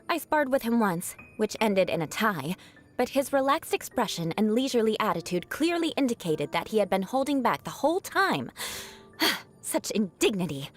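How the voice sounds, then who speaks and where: A woman voicing a proud young noblewoman knight in a video game, composed and haughty, with formal diction and a grudge-holding edge over a softer heart. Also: on the high side, big pitch swings, moderate pace. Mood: indignant. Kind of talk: scripted video game character voice lines.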